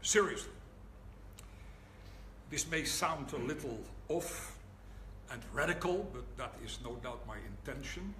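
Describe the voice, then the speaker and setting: An older man with a Dutch accent gives a public lecture as a rabbi, speaking in a wry, deliberate way and pausing for the audience's laughter. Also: medium pitch, lively intonation, sharp tone. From Netherlands. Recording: echoey hall, audible room noise.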